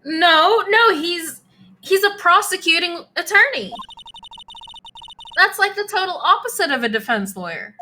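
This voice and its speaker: Woman playing a naive teenage girl, high swooping voice, slow earnest delivery, game dub, music behind. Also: animated, bright, forceful tone, a little hiss or hum, heavily acted. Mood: surprised. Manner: earnest, easily amazed. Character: naive, wide-eyed teenage girl assistant. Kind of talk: live fan dub of a visual novel game.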